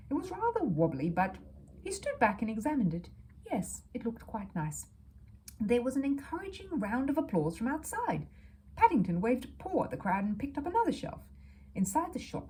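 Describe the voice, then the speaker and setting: A woman reading a children's story aloud as an expressive narrator. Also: mid-range voice, slight background noise, low-quality recording.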